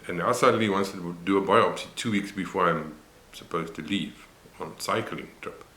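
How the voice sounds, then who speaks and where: Deep-voiced older Afrikaans-man. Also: bright, forceful tone, roomy sound, a little hiss or hum. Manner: unhurried, reflective, many pauses and fillers. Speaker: vlogger. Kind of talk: personal health vlog.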